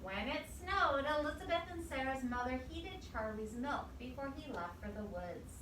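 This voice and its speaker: High-voiced woman reading aloud, echoey and distant. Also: moderate pace. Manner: expressive, asks simple questions and repeats children's answers. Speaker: storybook reader to young children. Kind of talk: read-aloud storytelling with questions to children. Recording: echoey, distant mic, clear background noise.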